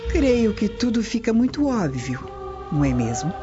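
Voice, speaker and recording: A woman performs a wounded, suspicious Roman prefect's wife in a radio drama. She speaks pointedly and with reproach, on a slightly dull recording with clear music behind her. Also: medium pitch, big pitch swings, moderate pace, natural voice. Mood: accusing.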